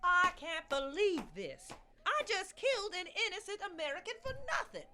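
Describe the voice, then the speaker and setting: A woman's voice: very high voice, wildly swooping pitch, moderate pace, pushed and bright, slight background noise, heavily acted. A woman playing an earnest, solemn President facing a nuclear attack in a comedic radio play, theatrical and grandiose. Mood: exasperated, frantic.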